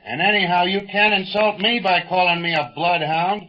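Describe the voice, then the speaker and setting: An actor playing a scrappy, hot-headed, folksy pilot sidekick, blustering in slangy exclamations in 1930s radio serial style. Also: male voice, high-pitched, normal speed, natural voice. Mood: defiant, indignant. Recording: muffled 1930s radio recording.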